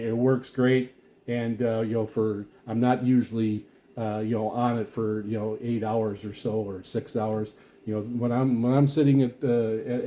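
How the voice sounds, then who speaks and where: A man giving a casual, rambling product walkthrough as a reviewer, speaking in a halting, explanatory way. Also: on the low side, dark tone. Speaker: radio reviewer and vlogger.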